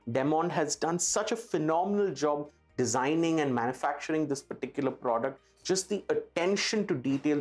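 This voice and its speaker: Man pitching a product over music. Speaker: company presenter of a product video. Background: background music.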